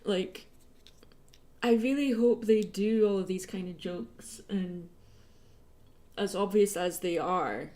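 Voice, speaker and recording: A woman's voice: mid-range voice, slow, pauses often, mellow. A woman in casual, conversational chat, the kind heard on a livestream. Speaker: artist hosting a livestream.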